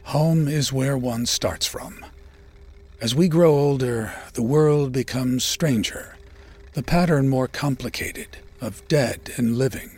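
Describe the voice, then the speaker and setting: A man reading poetry in a measured, solemn, dramatic recitation with deliberate pauses and a gravelly voice that turns breathy at phrase ends. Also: medium pitch, a little mellow. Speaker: poetry reader. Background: ambient sound design.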